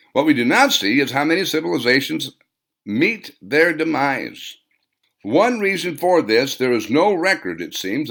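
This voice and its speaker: A male narrator acts out a pompous, long-winded fat man in an audiobook. The voice sits well above a middle male pitch and lectures on pedantically, phrase by phrase. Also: gravelly, a bit bright, full character voice.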